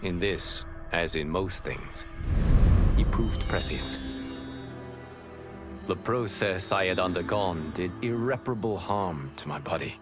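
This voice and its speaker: A wise god of time in a fighting game, solemn and formal. His voice is very low and unhurried, and music swells behind it. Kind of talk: scripted cutscene narration.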